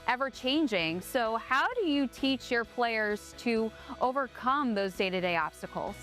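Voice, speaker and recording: A woman reporter asks an interviewee a series of prepared questions, polite and measured in tone. Her voice sits slightly high, with faint music underneath. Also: expressive, a bit bright.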